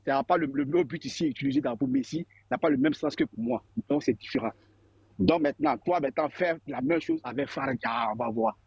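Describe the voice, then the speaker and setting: A man's voice: a little high, sing-song, brisk, creaky, a little mellow, husky, no echo, muffled recording. A man in a live debate, insistently pressing another speaker with repeated questions. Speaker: debate participant.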